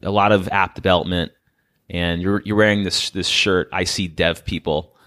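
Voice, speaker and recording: A man's voice: low voice, fairly even intonation, normal speed, fairly bright tone. A man hosting an interview podcast, talking in an enthusiastic, effusive way. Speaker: podcast host. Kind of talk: casual interview chat.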